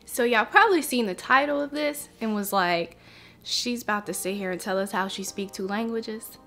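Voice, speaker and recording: A woman's voice: medium pitch, a bit bright. A young woman talking to camera on her vlog, earnest and reflective as she shares something personal. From United States. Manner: earnest, reflective, slow and heartfelt. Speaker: vlogger.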